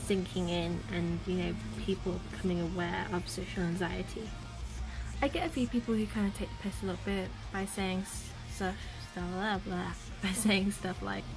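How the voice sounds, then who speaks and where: A young woman with a slightly croaky voice from a sore throat, talking earnestly to camera in a casual vlog, full of "you know" fillers. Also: medium pitch, rough recording. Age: young adult. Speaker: vlogger. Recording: music playing in the background.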